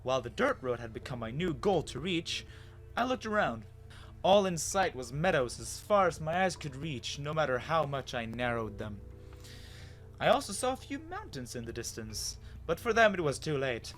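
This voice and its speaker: A man plays a lost man with no memory, anxious and bewildered. He tells his own story as a dramatic reading, with lively swings of pitch and expressive pauses. Soft music runs underneath. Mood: wondering. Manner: dramatic, expressive first-person telling with pauses. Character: confused lost amnesiac man recounting his wandering, anxious. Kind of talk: dramatic reading of fan fiction.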